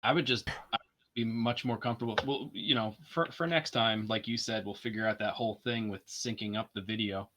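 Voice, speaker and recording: A man's voice: fairly low pitch, steady tone, fairly quick, pushed and bright. A man as a guest on a game stream, chatting in a laid-back, agreeable way. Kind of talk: casual chat while gaming.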